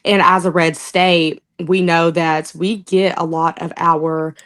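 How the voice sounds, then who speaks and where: A woman's voice: fairly low pitch, little pitch movement, takes it slowly, a bit bright. A young woman from southern Oklahoma with a Texas-leaning Southern accent, talking casually and laughing easily in an interview. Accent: Texoma Southern US. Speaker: political candidate interviewed.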